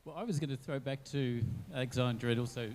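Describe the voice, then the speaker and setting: A man's voice: mid-range voice, fast talker, darkish tone. A man on a panel who thinks aloud as he talks, often restarting his sentences. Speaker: panelist from a blockchain company. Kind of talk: online panel discussion.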